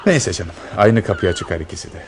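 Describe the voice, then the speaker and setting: An actor playing a devoted, protective lover on the run, in a radio drama. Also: male voice, lowish voice, wildly swooping pitch, brisk, slight background noise. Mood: earnest. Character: devoted male lover, protective fugitive planning escape.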